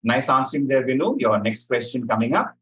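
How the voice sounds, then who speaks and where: A man presents a quiz as its question reader. He speaks in a measured, articulate voice, setting out clues and explanations for the contestants. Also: mid-range voice, animated, a bit bright. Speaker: quiz master.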